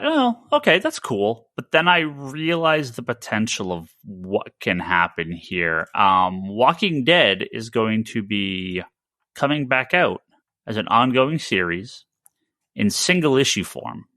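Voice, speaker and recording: Bright-voiced, animated man hosting a podcast. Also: medium pitch, dry recording. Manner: emphatic, opinionated, repeats and restarts phrases. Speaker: podcast host, comic store owner. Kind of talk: casual podcast chat about comics.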